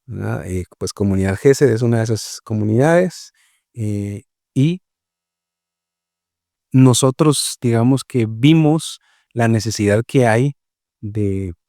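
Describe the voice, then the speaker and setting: Mellow-voiced Guatemalan-born man, reflective. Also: mid-range voice, animated, gravelly, no echo, clean studio sound. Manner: reflective, explains slowly with many fillers and "¿verdad?". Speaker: missionary guest. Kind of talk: conversational interview.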